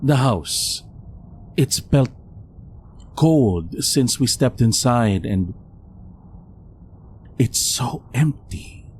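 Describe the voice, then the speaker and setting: A man plays an uneasy priest from the Philippines in an audio drama. He speaks slowly in a dark, muted voice, hesitant and haunted, with faint noise behind. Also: medium pitch, animated. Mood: uneasy, brooding. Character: soft, uneasy Filipino male priest, reflective and haunted.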